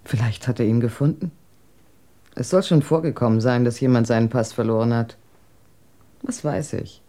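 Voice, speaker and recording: An actress in a German radio drama playing a proud, cold wife in a wheelchair, with a cutting, sardonic, articulate delivery. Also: female voice, extremely low pitch, moderate pace, warm tone. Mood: dismissive.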